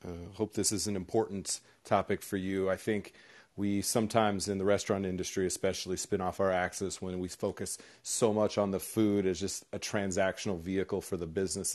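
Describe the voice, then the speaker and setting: A man's voice: low-pitched, flat delivery, brisk, darkish tone. A man from Southern California hosting a panel discussion in a casual, upbeat manner with plenty of fillers. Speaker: host, moderator.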